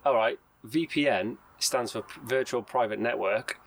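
A man's voice: medium pitch, a little slow, creaky, low-quality recording. A man co-hosting a casual podcast from inside a camper bus, upbeat, teasing and laughing often. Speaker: podcast co-host. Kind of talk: casual banter.

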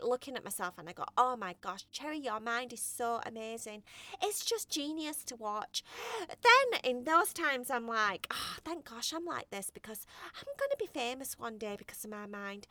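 A woman's voice: on the high side, no echo. Woman playing Cherry, a chattering boastful cat. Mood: dreamy, boastful. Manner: rambling, excitable, self-admiring. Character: scatterbrained boastful young female cat. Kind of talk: bedtime storybook character voice.

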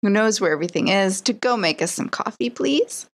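A woman playing a tabletop game, talking casually in character in a polite, measured way. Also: mid-range voice, expressive. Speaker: player in a tabletop game podcast.

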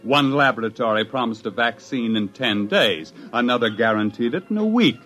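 A man acting an excitable scientist husband who tells his own story in a 1950s radio drama, with dramatic, wry delivery. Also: mid-range voice, moderate pace, lightly acted. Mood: wry, narrating. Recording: dull 1950s radio recording, music behind.

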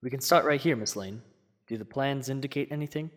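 Smooth-mannered villain in a reverberant hall played by a man. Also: mid-range voice, fairly quick, natural voice. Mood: polite. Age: young adult. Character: outwardly polite, secretly sinister male villain, a restorer. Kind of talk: classic radio drama acting.

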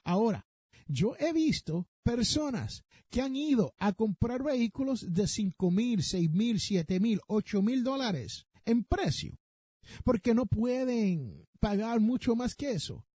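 A man's voice: very high-pitched, big pitch swings, slow pace, warm tone, husky, no echo, studio quality. A man with a Puerto Rican accent hosting a podcast, giving advice emphatically and straight to the listener, with some English terms mixed in. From Puerto Rico. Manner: emphatic, rhetorical questions, addresses listeners directly. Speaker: personal finance podcast host.

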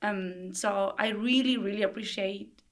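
A woman's voice: mid-range voice, steady tone, unhurried. A young woman from Argentina, a native Spanish speaker, answering thoughtfully in English while searching for words. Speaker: language assistant, guest.

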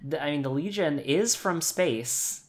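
A man's voice: fairly high pitch, expressive, a little slow. A man improvising casually in a tabletop game, thinking aloud and repeating phrases as he goes. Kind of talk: casual improvised tabletop role-play chat.

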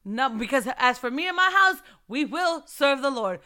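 High, bright-voiced fervent young woman. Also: lively intonation, normal speed. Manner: fervent, repetitive exhortations and rhetorical questions; shifts between soft reflective testimony and loud urging. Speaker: mother giving a faith talk. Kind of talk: informal preaching to women.

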